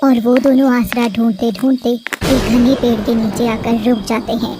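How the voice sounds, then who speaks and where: A woman narrating a cartoon story in an even storytelling manner. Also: on the high side, normal speed, background noise.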